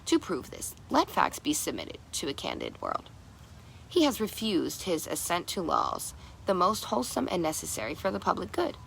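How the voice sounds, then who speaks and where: A woman reads a formal old document aloud in a bright, lowish voice with plenty of creak. Her delivery is halting, tripping on longer words and starting them again. There is clear noise in the recording. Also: poor audio. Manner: halting, stumbles over long words and repeats them. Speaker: reader. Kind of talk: read-aloud of a historical document.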